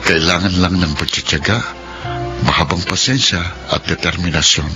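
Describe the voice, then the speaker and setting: A low-pitched, humble young man, played by an actor in a radio drama, speaks with earnest, hesitant gratitude. He is an emotional son who works and studies, with music clearly behind his voice. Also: steady tone, moderate pace, natural voice. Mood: reflective, earnest. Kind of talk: Filipino radio drama acting with first-person narration.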